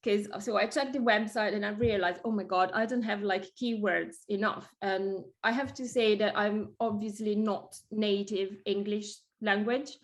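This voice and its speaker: A woman's voice: mid-range voice, steady tone, normal speed, hardly any pauses. A woman whose first language is Italian, talking on a video call as a guest interior designer, chatty and full of fillers and restarts. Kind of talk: casual video call chat.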